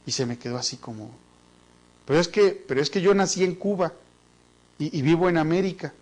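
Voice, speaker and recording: A man's voice: a little high, brisk, darkish tone. A man with a Mexican accent teaching a business class in a chatty, joking way, often repeating words as he thinks. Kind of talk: online business class lecture.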